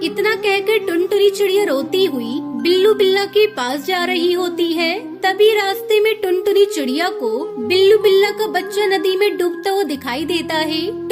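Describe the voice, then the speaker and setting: A woman's voice: very high voice, moderate pace, few pauses, music in the background. A woman giving scripted narration for a children's cartoon story.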